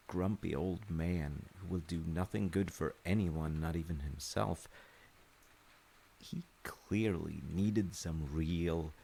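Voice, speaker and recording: A man playing a gentle, thoughtful dad, telling a folktale and chatting reflectively in a measured, intimate way with pauses. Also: deep voice, vocal fry, husky. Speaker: storyteller, audio roleplay voice actor playing a dad. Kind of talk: intimate improvised-sounding bedtime storytelling and chat.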